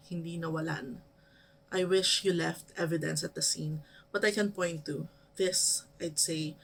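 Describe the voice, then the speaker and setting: A woman with a Filipino accent and a creaky voice, reading her own poetry dramatically. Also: lowish voice, a bit bright, rough recording. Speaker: poet reading own work.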